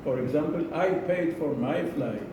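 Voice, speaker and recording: A man giving a prepared speech to an audience in a slow, deliberate manner, repeating phrases for emphasis. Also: fairly high pitch. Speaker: club speaker giving a speech. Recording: echoey room, distant mic, noisy.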